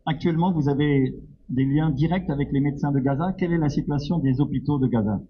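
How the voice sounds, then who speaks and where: A man's voice: on the high side, fast talker. A man acting as host and organiser, introducing a guest and putting questions. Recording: muffled sound with faint background noise.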